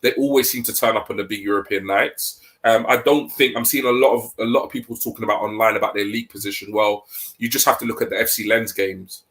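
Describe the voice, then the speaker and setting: A man with a stuffy head cold talking casually about football on a podcast, hesitant with many pauses. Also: fairly low pitch, steady tone, a bit bright. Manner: hesitant, many ums, thinking aloud. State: congested with a cold. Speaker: podcast co-host.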